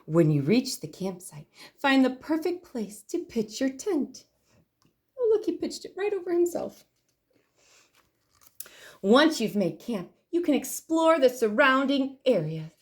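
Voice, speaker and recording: Female storytime host, lively swooping pitch, expressive picture-book reading with chatty asides for young listeners. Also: unhurried, long pauses.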